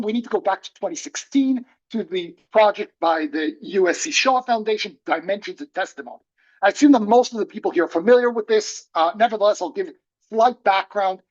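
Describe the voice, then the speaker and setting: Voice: mid-range voice, muffled recording. A thoughtful academic presenter speaking hesitantly with many fillers during an online panel over a video call.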